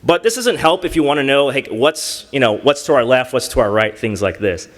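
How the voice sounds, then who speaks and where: A man presents a tech demo on a conference stage, halting often with ums and restarts. His voice is mid-pitched, and a long hall reverb trails each phrase. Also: animated. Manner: halting, full of fillers and restarts. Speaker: hackathon team presenter.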